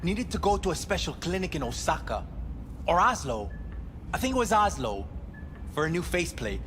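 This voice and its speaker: A flighty male club worker from a video game, with a fairly high, very lively voice. He talks vaguely and hesitantly in a reverberant space with music behind him. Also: normal speed, a little hiss or hum. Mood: casual, gossipy. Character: flighty, casual male doll working at a club.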